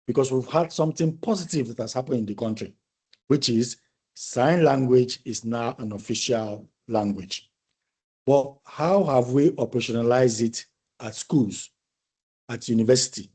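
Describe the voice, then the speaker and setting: A man's voice: mid-range voice, normal speed, mellow, slightly rough. A man lecturing a university class in a conversational discussion, thoughtful and repeating phrases as he explains.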